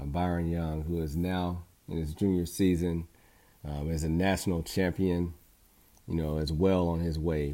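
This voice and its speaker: A man's voice: low voice, mellow. A middle-aged man hosting a podcast, speaking in an earnest, encouraging way in a reflective motivational monologue. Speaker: podcast host.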